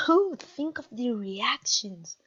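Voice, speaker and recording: A conquering vampire queen, acted by a woman, speaks in a fairly high voice and takes her time over every phrase. She is haughty and mocking, toying with her captive in theatrical roleplay style. The recording is slightly dull and lo-fi. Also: big pitch swings, bright, forceful tone, slightly rough, full character voice. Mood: mockingly amused. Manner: pompous, teasing, drawn-out delivery. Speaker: audio roleplay voice actor. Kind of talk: theatrical ASMR roleplay acting.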